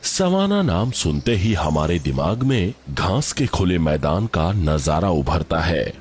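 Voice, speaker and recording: Deep-voiced man, animated voice-over. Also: takes it slowly, a little hiss or hum. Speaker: voice-over artist. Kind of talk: scripted commercial and narration voice-over. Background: music bed.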